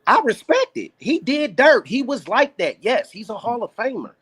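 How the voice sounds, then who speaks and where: A man's voice: very high-pitched, sing-song, normal speed, fairly bright tone, husky, dry recording. A man co-hosting a casual sports debate podcast, laid-back but insistent when challenged. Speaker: podcast co-host.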